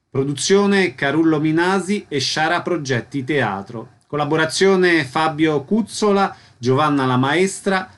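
A man's voice: fairly high pitch, fairly bright tone. Man reading a scripted radio announcement. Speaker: radio show host.

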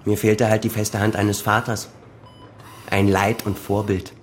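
Actor as middle-aged man, low voice, bitter, sarcastic, accusing, radio crime drama. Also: little pitch movement, measured pace, slight background noise, natural voice. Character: bitter, cynical grown son confronting his father. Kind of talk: naturalistic German radio drama acting.

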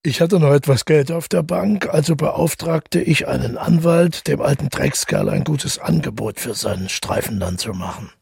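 An actor playing a withdrawn, middle-aged widower who tells his story in a radio drama, husky and gravelly, reflective and measured. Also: on the high side, lively intonation, a little mellow, no echo, clean studio sound, exaggerated voice. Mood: earnest. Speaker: narrator and protagonist. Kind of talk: literary radio drama monologue.

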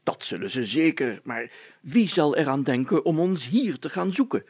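A man's voice: on the high side, lively intonation, normal speed, darkish tone, slightly rough, dry recording, voice pushed up. A man voicing a pompous, optimistic village mayor in a storybook, speaking emphatically and with self-importance. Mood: grave, emphatic.

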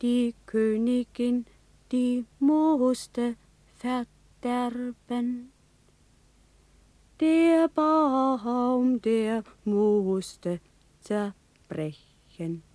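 An actress playing a sorrowful young mother in a radio play, phrasing simply and haltingly like someone telling a child a verse. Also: a little high, mellow, no room sound. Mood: tender, mournful. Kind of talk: slow radio play acting, poetic lullaby.

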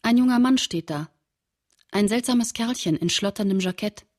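An actress plays a shaken female diarist in a radio drama. Her mid-pitched voice is intense, and she delivers literary narration and dialogue in short, broken phrases. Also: moderate pace, close to an everyday voice. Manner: terse, fragmentary sentences, intense. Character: shaken woman writing diary of friend's return from camp.

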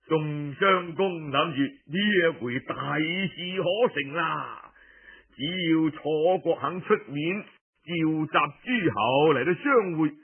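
A high-pitched male storyteller narrates historical tales at a slow pace. He is colloquial and theatrical, stretching the ends of phrases and pausing between them. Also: narrow, tinny audio. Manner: colloquial, theatrical, drawn-out phrase endings with pauses. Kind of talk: traditional Cantonese oral storytelling of historical tales.

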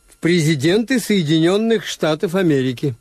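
Актёр играет усталого ироничного хозяина гостиницы, который сдержанно и сухо ведёт рассказ в советской радиопостановке. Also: male voice, on the high side, animated, moderate pace, a little mellow, close to an everyday voice. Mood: dry, defiant. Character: weary, ironic male hotel owner recounting events. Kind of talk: Soviet radio drama narration and dialogue.

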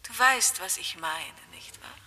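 An actress voicing an eerie woman who calls from beyond the grave, speaking in a soft, coaxing, breathy whisper in a radio play. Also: fairly low pitch, expressive, measured pace, crowd noise. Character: eerie whispering woman, dead first wife calling back. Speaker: mysterious caller on the phone.

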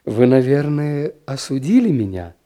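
A man's voice: mid-range voice, very animated, measured pace, warm tone. A man playing a playful, candid princess of twenty-one in a classic story reading, teasing and self-assured.

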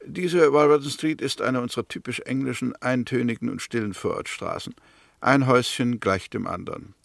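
A courteous, methodical prosecutor played by a man in a radio drama. His medium-pitched voice has a gravelly creak. He argues formally and precisely in a very dry studio sound. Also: normal speed, no room sound, lightly acted. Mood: methodical, composed. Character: methodical, courteous male English crown prosecutor, coolly arguing. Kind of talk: scripted radio drama acting.

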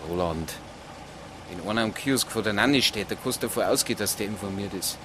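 An actor playing a wry, laconic police detective, terse and colloquial, with a Bavarian accent. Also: male voice, on the low side, a little fast, noisy background, natural voice. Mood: dry, offhand. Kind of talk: naturalistic radio drama acting.

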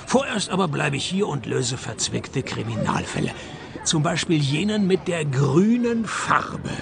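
An actor playing a wry, sardonic private detective in a radio play, with a dry, deadpan, mocking delivery. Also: male voice, fairly high pitch, unhurried, creaky, a crowd in the background, heavily acted. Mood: dry teasing. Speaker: lead actor and narrator. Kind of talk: radio detective play, scripted narration and dialogue.

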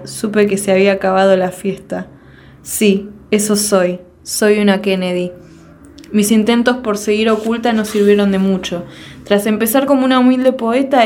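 A woman reciting a long first-person poem in a measured, declamatory way, full of rhetorical questions. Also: medium pitch, a little mellow. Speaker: poetry reader. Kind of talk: dramatic poetry recitation.

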